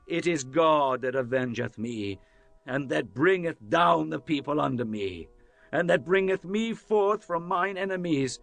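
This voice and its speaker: A man playing a devout warrior king and psalmist in a dramatized scripture reading, declaiming in solemn, measured phrases. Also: fairly high pitch, lively intonation, vocal fry, a bit bright, no room sound, heavily acted. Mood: exultant praise. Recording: music under the voice. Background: music.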